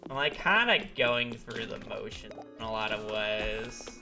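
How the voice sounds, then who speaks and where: A man's voice: fairly low pitch, lively intonation, a little slow, creaky, over music. A man talking casually over a live gaming stream, explaining at length with many fillers, his voice congested from a recent illness. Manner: rambling, filler-heavy explanations.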